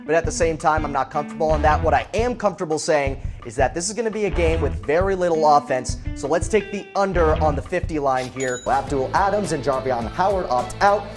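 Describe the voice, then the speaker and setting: A man's voice: fairly high pitch, a little fast, hardly any pauses, music in the background. A man in his twenties delivering sports broadcast narration and commentary as a reporter and announcer. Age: 20s.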